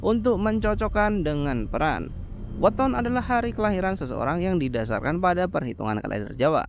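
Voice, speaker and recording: High-pitched, lively male recap narrator. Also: fairly quick, creaky, a little hiss or hum. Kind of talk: scripted storytelling narration of a horror film plot.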